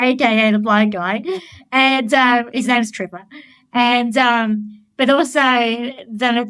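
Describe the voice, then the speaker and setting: A woman's voice: a little high, clear, resonant tone, dry recording, poor audio. A woman life coach talking in a casual interview, candid and blunt, swearing freely, and telling her stories with enthusiasm. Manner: enthusiastic, animated storytelling. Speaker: life coach, podcast guest.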